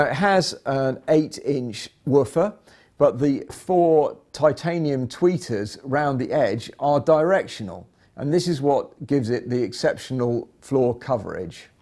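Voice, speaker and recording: A man giving an instructional product demonstration in a measured, explanatory way. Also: medium pitch, mellow. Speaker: product presenter.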